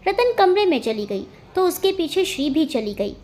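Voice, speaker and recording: A woman's voice: very high-pitched, big pitch swings, moderate pace, a little mellow. A woman narrating a scripted family romance story as a dramatic storyteller.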